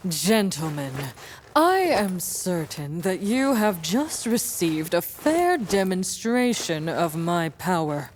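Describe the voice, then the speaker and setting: A woman's voice: lowish voice, sing-song, takes it slowly, a little hiss or hum. A woman playing a defiant, vengeful vampire in an audio drama, sneering with savage menace. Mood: menacing, gloating.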